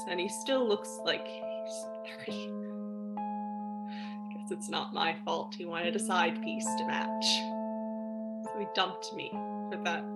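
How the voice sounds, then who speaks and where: A woman playing a bitter, ailing, jilted middle-aged ex-girlfriend in a fan-made drama monologue, wry and halting. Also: on the low side, low-quality recording. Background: piano music.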